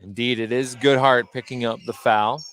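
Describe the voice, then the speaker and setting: A man doing live play-by-play basketball commentary for radio from a high school gym. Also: steady tone, moderate pace, a bit bright, clean tone. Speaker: play-by-play announcer. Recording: faint gym noise, bouncing basketball.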